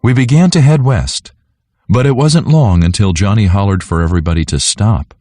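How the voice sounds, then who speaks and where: A man with a mellow, low voice reads a children's story aloud. His delivery is animated and scripted, in a close, dry sound. He narrates clearly as a storyteller for young listeners. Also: normal speed, no echo.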